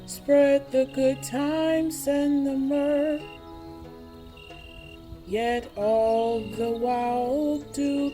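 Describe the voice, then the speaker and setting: A woman's voice: high voice, dark tone. A woman singing a folk carol in the manner of a lullaby. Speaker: singer. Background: music.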